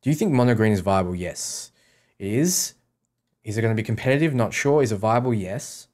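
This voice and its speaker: A low-voiced man talks casually on a gaming livestream. He reads questions aloud and replies offhand, often repeating short sentences to make his point. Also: animated, a little fast, a little mellow. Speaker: game streamer answering chat questions.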